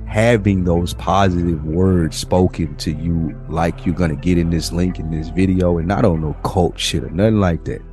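A man's voice: sits low, steady tone, normal speed, hardly any pauses, mellow, faint music behind. A young man co-hosting a podcast, talking in a laid-back, rambling way and swearing freely. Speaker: podcast co-host. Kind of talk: casual podcast chat.

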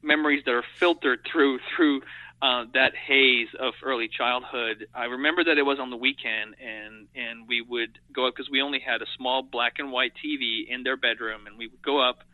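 A man's voice: medium pitch, fairly even intonation, normal speed, no echo. A middle-aged man whose first language is French, a guest speaking earnestly and reflectively in long, thoughtful sentences. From Europe and Africa. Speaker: guest, animation editor. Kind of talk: casual interview talk. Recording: tinny phone-like line, dry.

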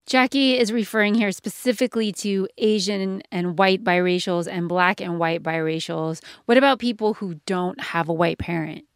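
A woman co-hosting a podcast, lively in casual discussion. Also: medium pitch, moderate pace, hardly any pauses, smooth, no room sound, clean studio sound. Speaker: podcast co-host.

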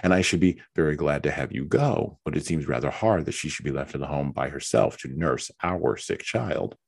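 A man voicing a good-natured young husband who speaks mildly and slowly. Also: rumbling low, creaky, breathy edge, no echo, heavily acted.

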